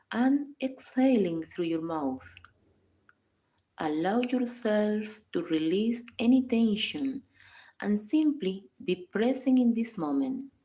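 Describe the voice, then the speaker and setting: A woman leads a guided meditation at a very slow, unhurried pace. Her voice is soft-edged and mellow, sitting at a medium pitch. She gives each instruction calmly and in measured phrases. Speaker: psychologist guiding a meditation. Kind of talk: scripted guided meditation narration.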